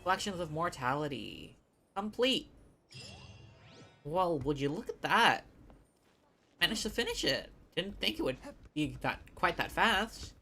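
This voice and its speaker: A woman's voice: fairly low pitch, big pitch swings, a little slow, long pauses, bright, forceful tone, soft background music. A woman livestreamer giving casual commentary while she plays a video game.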